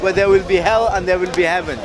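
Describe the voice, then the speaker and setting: A man in a public debate, explaining earnestly at length with halting restarts. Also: high-pitched, fairly even intonation. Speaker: street debater. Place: outdoor public speaking spot. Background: crowd of onlookers.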